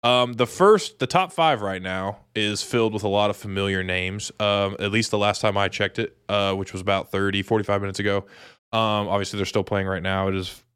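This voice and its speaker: A man's voice: fairly low pitch, steady tone, a little fast, fairly bright tone, smooth, dry recording, studio quality. A young American man from Dallas co-hosting a sports podcast, chatting casually about golf in slangy, informal talk. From Dallas, Texas, United States.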